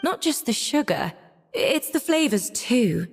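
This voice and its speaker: A woman voicing a discerning, blunt female cake judge with a crisp, critical manner, in a game. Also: a little high, big pitch swings, measured pace, exaggerated voice. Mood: critiquing. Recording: game audio, reverb, music behind.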